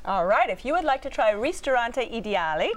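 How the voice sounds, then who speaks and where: A woman's voice: a little high, very animated, fairly quick. A woman hosting a restaurant review roundtable, steering the talk and reading the details. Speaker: host.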